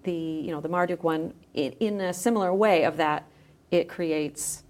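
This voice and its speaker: A woman's voice: fairly low pitch, normal speed, darkish tone. A woman speaking as a guest in a conversational podcast interview, phrasing things hesitantly and letting thoughts trail. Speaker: guest, editor and audio creator.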